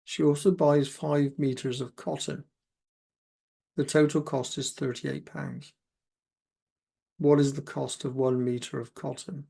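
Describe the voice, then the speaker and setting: A man with a croaky voice, working through exam maths questions aloud step by step as a tutor. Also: a little high, unhurried, pauses often. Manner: steady step-by-step, talks himself through sums.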